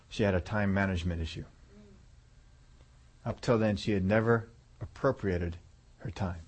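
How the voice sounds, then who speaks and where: A man telling a story in a sermon, folksy and chuckling now and then, dropping low and soft on key lines. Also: husky.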